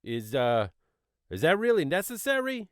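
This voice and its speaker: A woman's voice: on the low side, sing-song, normal speed, dry recording, studio quality. A woman playing a nervous, evasive police officer in an audio drama, stammering and hesitant.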